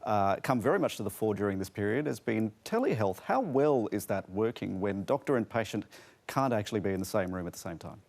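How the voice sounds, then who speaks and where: A man's voice: fairly low pitch, animated, brisk, gravelly, darkish tone. Australian male news presenter. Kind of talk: broadcast news presenting and interviewing.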